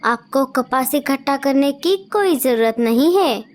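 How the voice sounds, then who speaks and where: A woman's voice: high-pitched, animated, measured pace. A woman voicing kind female birds in a cartoon story, speaking sweetly and eager to help. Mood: helpful.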